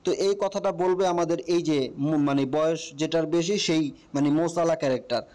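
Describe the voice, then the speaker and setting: A man's voice: fairly high pitch, fairly quick, a little mellow, clean tone. A man with a Bangladeshi accent hosting a casual step-by-step app tutorial, explaining and often checking that the listener follows. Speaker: tutorial host.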